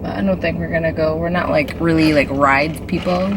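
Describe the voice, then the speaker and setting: Hoarse lady vlogging from the truck, kinda low voice, says like and um a lot, road noise all over it. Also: steady tone, a little fast. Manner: chatty, filler-heavy, with frequent "like" and "um". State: hoarse from a cold. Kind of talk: casual vlog chat. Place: inside a moving truck. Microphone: handheld camera.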